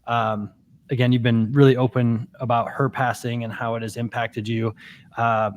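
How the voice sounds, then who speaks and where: A man hosting an interview, asking questions gently and hesitantly, often repeating words. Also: medium pitch, little pitch movement, moderate pace, slight background noise. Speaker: interview host. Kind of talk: interview conversation.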